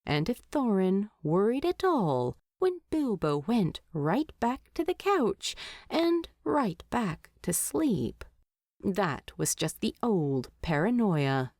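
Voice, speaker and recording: A woman's voice: medium pitch, darkish tone, no echo, clean studio sound. A woman reading scripted fiction aloud as an audiobook narrator.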